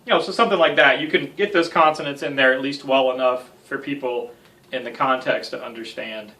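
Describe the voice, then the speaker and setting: A man's voice: mid-range voice, steady tone, brisk, sharp tone, recorded from a distance. An American man in his thirties giving a casual guitar tutorial with self-deprecating, joking asides. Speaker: guitar instructor. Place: small home music room.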